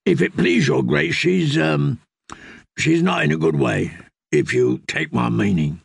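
Guy doing a jittery guard, lots of ums, kinda higher voice. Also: sing-song, a little slow, vocal fry, slightly rough, no room sound, studio quality, full character voice. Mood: hesitant. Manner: hesitant, deferential. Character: nervous male castle guardsman.

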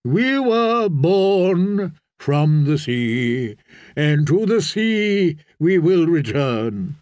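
A man's voice: a little high, big pitch swings, very slow, darkish tone, no echo, studio quality, exaggerated voice. A man playing a fervent, commanding prophet priest of a sea god in a fantasy audiobook, preaching in solemn, incantatory oratory. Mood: solemn, ritual. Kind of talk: dramatised fantasy audiobook reading.

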